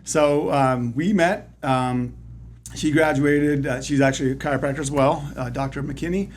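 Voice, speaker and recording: Relaxed Canadian man telling stories. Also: on the high side, normal speed, a little hiss or hum. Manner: relaxed, rambling storyteller with dry self-deprecating humor. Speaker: chiropractor guest. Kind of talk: casual interview chat.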